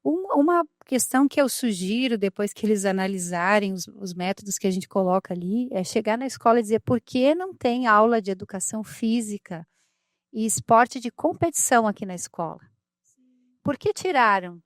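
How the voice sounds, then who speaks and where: A woman's voice: medium pitch, animated, normal speed, dry recording, studio quality. A woman, co-hosting a podcast in casual conversation, emphatic and fond of rhetorical questions. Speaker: podcast co-host.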